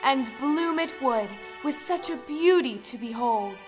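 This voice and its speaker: Female storyteller, fairly high voice, scripted folktale narration, faint music. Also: animated, unhurried. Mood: storytelling. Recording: music behind the voice.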